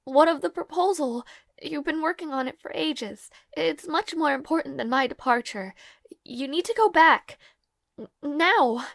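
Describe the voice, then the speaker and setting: A woman playing a timid, devoted young maid in a dramatic audio roleplay, with halting, stammering, breathy speech. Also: high-pitched, fairly bright tone, dry recording, exaggerated voice. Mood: urgent, pleading.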